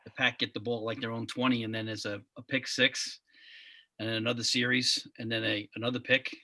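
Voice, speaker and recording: A man with a Long Island accent hosting a casual sports podcast, friendly and quick to laugh. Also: mid-range voice, dry recording. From Long Island, New York. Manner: relaxed, friendly, laughs often. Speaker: podcast host.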